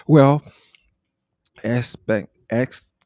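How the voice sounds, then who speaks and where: A man's voice: medium pitch, very slow, darkish tone, dry recording, clean studio sound. A man in his thirties co-hosting a casual livestream talk, joking and stumbling over words. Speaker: co-host.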